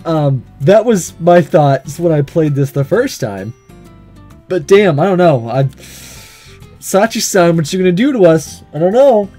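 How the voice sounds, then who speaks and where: Male game host, slightly high voice, informal and animated, thinking aloud over faint music. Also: a little slow. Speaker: gaming video host. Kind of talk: casual playthrough commentary.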